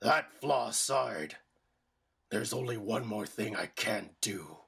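A man playing a boastful, menacing pirate villain in an anime fan dub, with a gravelly, growling, theatrical delivery. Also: fairly low pitch, animated, takes it slowly, fairly bright tone, exaggerated voice. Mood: ominous. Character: gleeful, boastful hulking pirate villain wielding darkness.

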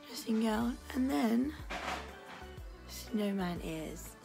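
Hoarse young woman chatting to her phone. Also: on the high side, animated, very slow. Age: young adult. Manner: chatty, narrating as she goes. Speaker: vlogger. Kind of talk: casual home vlog. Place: home, indoors. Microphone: phone held at arm's length. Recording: music playing over voice.

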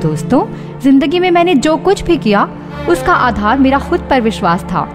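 A woman's voice: high-pitched, big pitch swings, moderate pace, music in the background. A woman playing a confident blind woman who tells her life story in scripted radio narration. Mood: earnest, confident.

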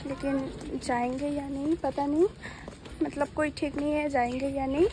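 A woman's voice: high-pitched, level tone, moderate pace, noisy background. A young woman vlogging casually to a phone held at arm's length, chatty with hesitant restarts and easy laughter, from street to cafe to outdoors at night. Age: young adult. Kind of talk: casual vlog chat to camera.

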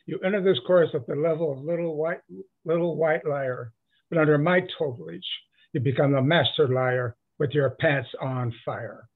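Husky, higher-pitched male actor as grandiose, gleeful professor, declamatory sales patter, comedy lecture. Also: moderate pace. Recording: dull lo-fi sound.

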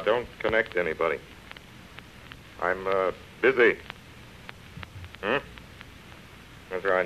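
An actor in a 1930s radio drama playing a proud, broken, drinking man, halting and muttering to himself. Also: lowish voice, close to an everyday voice. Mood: curt, tense. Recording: dull, hissy 1930s radio recording.

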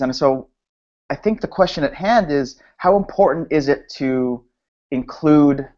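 A man in his thirties hosting a poetry Q&A, putting an audience question with careful, measured phrasing over a video call. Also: medium pitch. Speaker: host. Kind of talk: moderating a Q&A. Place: small room indoors. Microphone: laptop webcam on video call. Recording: dull video call audio.

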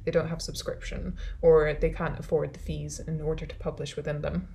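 A woman's voice: sits low, flat delivery, a little fast, gravelly, warm tone, slight background noise. A woman with a Scottish accent, a social science academic answering questions thoughtfully and self-deprecatingly in a conversational way after a talk. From Scotland.

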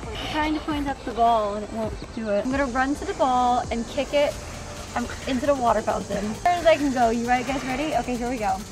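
A young woman vlogging outdoors in a park, slightly hoarse from losing her voice, talking casually with lots of fillers and apologies. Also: a little high, fast talker, rough recording. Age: young adult. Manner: giggly, filler-heavy, apologetic. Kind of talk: casual vlog talk to camera. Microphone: handheld camera mic, sometimes far camera. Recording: outdoor camera audio under background music. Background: background music.

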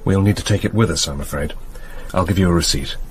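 An actor with a British accent playing a shrewd senior police detective in a radio drama, measured and businesslike. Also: male voice, low-pitched, natural voice. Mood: grave, polite. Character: composed, shrewd male senior police detective, polite and matter-of-fact. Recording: noisy radio drama with ambience.

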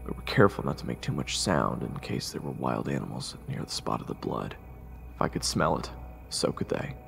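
A man's voice: rumbling low, sing-song, brisk, vocal fry, heavily acted. A man playing a curious, compassionate young army private who also narrates a fantasy audio drama. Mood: grave, narrating. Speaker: narrator and lead actor in an audio roleplay. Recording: reverberant, with music underneath.

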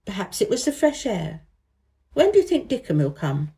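Lady doing a bossy posh little storybook boy, curious, mellow tone. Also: mid-range voice, huge pitch swings, moderate pace. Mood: austere.

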